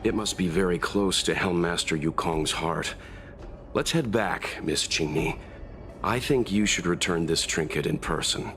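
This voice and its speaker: A man voicing a calm, sensible, courteous man in a video game, measured and reassuring. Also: deep voice. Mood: gentle, thoughtful. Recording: game music clearly behind the voice.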